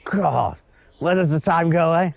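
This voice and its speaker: A man's voice: fairly high pitch, a little slow, vocal fry. An actor playing a kindly, effusive middle-aged male schoolteacher making small talk in a film, often repeating himself. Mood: cheery. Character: chirpy, kindly older male former schoolteacher.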